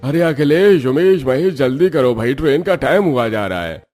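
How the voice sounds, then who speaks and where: A man's voice: mid-range voice, lively intonation, moderate pace, darkish tone, close to an everyday voice. A man playing a friendly, practical village working man in a cartoon story, plain-spoken and advising. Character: village men off to city factory work, friendly, practical.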